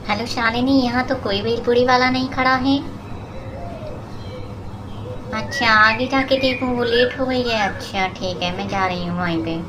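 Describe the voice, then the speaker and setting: A woman's voice: a little high, moderate pace, natural voice. A woman playing a haughty, scheming young woman who talks with scolding contempt in a moral story. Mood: casual. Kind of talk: acted moral story for cartoon. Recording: clear background noise with birdsong.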